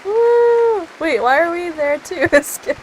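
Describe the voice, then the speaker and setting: Young woman, gameplay reaction, high and lively voice, giggly, exclamatory, casual. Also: a little slow, background noise. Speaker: streamer reacting to a game.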